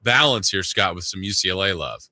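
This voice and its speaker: A man's voice: low voice, animated, a little fast, pushed and bright, no room sound. A man co-hosting a sports radio show, talking casually.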